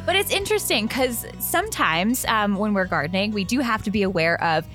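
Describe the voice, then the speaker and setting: Lively woman hosting a podcast. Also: medium pitch, fairly quick, soft background music. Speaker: podcast host. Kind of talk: casual, chatty podcast talk.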